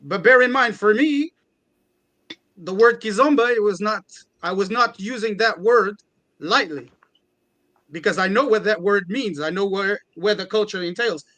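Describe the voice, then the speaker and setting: A man's voice: high voice, moderate pace, bright, forceful tone. A man, a DJ and event organiser, talking earnestly and reflectively in a panel discussion and often restarting phrases. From Portugal.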